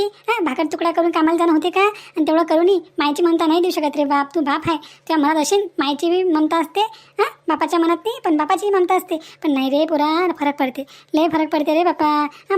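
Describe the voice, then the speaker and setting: A woman's voice: extremely high pitch, fairly even intonation, a little fast. A woman voicing a pleading, scolding motherly village neighbour in a cartoon drama, insistent and repetitive, with a rural Maharashtrian Vidarbha accent. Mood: admonishing. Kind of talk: Marathi cartoon moral drama dialogue.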